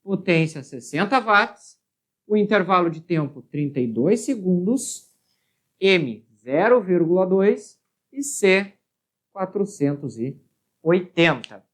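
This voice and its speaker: A woman's voice: on the low side, animated, slow pace. Brazilian woman teaching step by step. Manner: explanatory, often checking with "certo?" and "né?". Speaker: physics teacher. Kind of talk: step-by-step lesson at a board.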